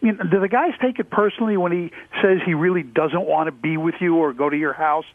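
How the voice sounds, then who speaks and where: A man's voice: on the high side, fast, no room sound. A man calling a radio show by phone and chatting casually. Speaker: caller. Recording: narrow dry phone line.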